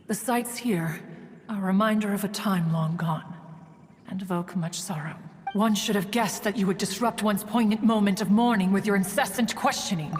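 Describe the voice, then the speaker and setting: A woman's voice: medium pitch, moderate pace, close to an everyday voice. A woman playing a proud, wistful ancient female immortal in a game, speaking in formal, archaic, pompous phrases. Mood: solemn. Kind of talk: scripted game dialogue, storytelling. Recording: long hall reverb, music behind. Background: music.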